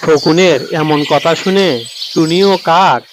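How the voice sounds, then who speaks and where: Higher-voiced male storybook narrator. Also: slow, background noise.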